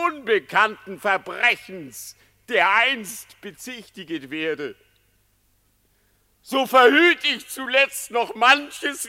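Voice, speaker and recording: A man's voice: very high-pitched, sharp tone, full character voice. An actor in a radio play voicing a cunning condemned male fox who makes a solemn, declamatory plea to a crowd. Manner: declamatory, solemn, drawn-out public confession. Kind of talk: classic German radio play acting in verse. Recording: long hall reverb on the voice.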